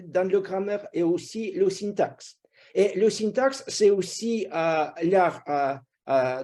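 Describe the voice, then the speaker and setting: A high-voiced man with a foreign accent gives a prepared evaluation. He is not a native speaker and talks slowly and haltingly, filling the gaps with hesitation sounds. His voice is dark and soft-edged. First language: not French. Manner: halting, hesitant delivery with constant filler sounds. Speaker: grammarian giving a report at a speaking club.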